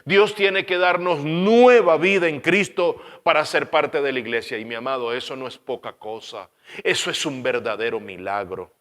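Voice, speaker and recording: A man from Venezuela with a Caracas accent preaching a sermon as pastor, earnest, repeating phrases and letting line ends fall quiet. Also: medium pitch, normal speed, big-room sound.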